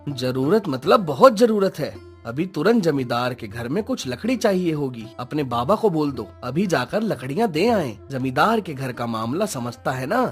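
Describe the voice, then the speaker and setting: कार्टून कहानी में ज़मींदार के आज्ञाकारी, जल्दबाज़ नौकर का किरदार निभाता एक पुरुष।. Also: male voice, on the high side, very animated, normal speed, few pauses, soft background music. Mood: urgent. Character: obedient, hurried male servant of a village landlord. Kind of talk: cartoon moral story acting.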